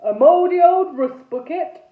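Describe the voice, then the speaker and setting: A woman's voice: very high voice, expressive, unhurried, far from the mic, pitched above the performer's own voice. A woman voicing a pompous old male ghost knight in armour for a children's story, with formal, indignant delivery. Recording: phone-like thin sound, roomy echo.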